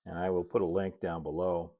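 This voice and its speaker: Very deep-voiced, easygoing middle-aged man. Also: flat delivery, a little fast, dull audio. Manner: easygoing, folksy, chatty asides. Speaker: model railroad builder and video host. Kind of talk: casual hobby tutorial narration.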